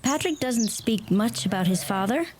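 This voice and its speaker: An actress playing a young American visitor in a radio play, polite, sympathetic and curious. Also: female voice, on the high side, expressive, normal speed, darkish tone, slight background noise, lightly acted. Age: young adult. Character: gentle, curious young American woman visiting rural Ireland.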